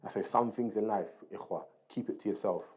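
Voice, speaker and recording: A man's voice: lowish voice, fairly quick, a little mellow. A man giving an informal Islamic lesson, explaining point by point in a conversational way, repeating key phrases and checking in with short tag questions. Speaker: religious teacher reading and explaining a book.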